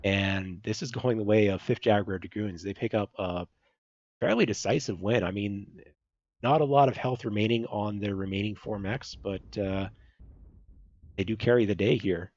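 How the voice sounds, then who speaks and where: A man casting an esports match play by play, measured and full of fillers. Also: on the low side, a little mellow, no room sound, dull audio. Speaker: esports caster. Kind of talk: play-by-play commentary.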